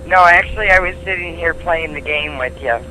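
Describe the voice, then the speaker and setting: A man on a phone call, answering in a plain, deliberate, unruffled manner. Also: a little high, bright, forceful tone. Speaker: call taker, prank call target. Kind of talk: phone conversation.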